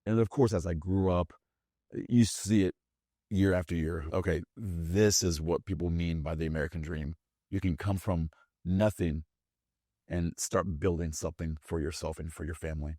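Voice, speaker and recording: A man in his forties, born in Brazil and native in Portuguese, telling his life story as an interview guest, animated, with frequent restarts. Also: deep voice, moderate pace, dry recording, studio quality. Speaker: guest, business owner.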